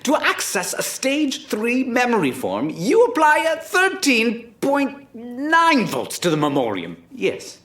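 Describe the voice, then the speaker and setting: An actor voicing a pompous, defensive fraud of a scientist in an animated audio drama, indignant and haughty, in a roomy echo. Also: mid-range voice, slow pace, hardly any pauses, heavily acted.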